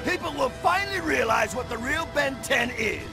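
An actor playing a bitter, jealous rival hero in a video game, furious and grandiose in a theatrical rant. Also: male voice, extremely high pitch, animated, normal speed, vocal fry, over music, heavily acted. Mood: accusing, boastful. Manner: theatrical, ranting. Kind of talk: video game cutscene voice acting.

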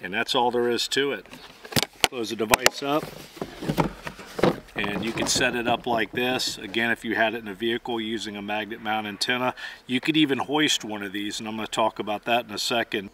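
A man's voice: medium pitch, fairly even intonation, fairly quick, very noisy. A middle-aged man giving methodical, plainspoken how-to instruction outdoors as he demonstrates gear. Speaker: host and demonstrator.

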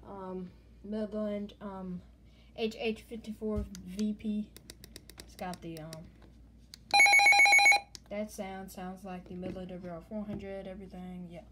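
Casual, halting woman vlogger, noisy home recording. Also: mid-range voice, little pitch movement. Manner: informal, halting, repeats stock phrases. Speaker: host of a gadget collection video channel. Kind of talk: casual handheld show-and-tell vlog. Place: small room indoors. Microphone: handheld phone or camera, held close.

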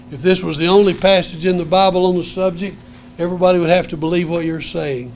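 A man's voice: high voice, darkish tone. An older man teaching a Bible class, earnest and emphatic, repeating his key words, on a lapel mic in a lecture room.

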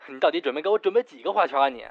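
A man acting frantic fast-talking caller, dull sound. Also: on the high side, expressive, breathy edge, dry recording. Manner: frantic, exclaiming. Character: frantic, complaining young man phoning a friend. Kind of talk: Mandarin audio drama acting. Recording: dull, dry phone-call sound.

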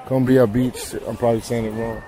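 A man's voice: medium pitch, level tone, a little fast, mellow, rough recording. A man with an American accent, a travel vlogger talking casually and slangily, often repeating himself. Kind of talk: casual vlog narration and chat. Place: outdoors on a busy beach, walking. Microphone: handheld camera held by the speaker. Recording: outdoor beach with people chattering around.

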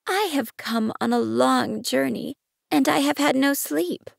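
A woman's voice: sits high, unhurried, gravelly, dry recording, studio quality, pitched above the performer's own voice, full character voice. A woman voicing a timid, curious young prince, a small boy in a storybook, with a shy questioning manner. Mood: embarrassed, abashed. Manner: timid, questioning, soft-edged.

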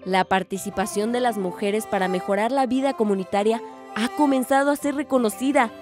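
A woman's voice: fairly high pitch, a little fast, a little mellow, lightly acted. A woman playing a hopeful young villager who narrates her story earnestly in a radio drama. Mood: reflective, proud. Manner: earnest, reflective. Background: music.

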